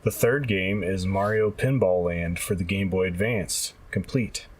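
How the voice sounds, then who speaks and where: Lower-pitched man with a husky voice. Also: unhurried. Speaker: podcast co-host. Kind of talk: casual podcast chat about retro games.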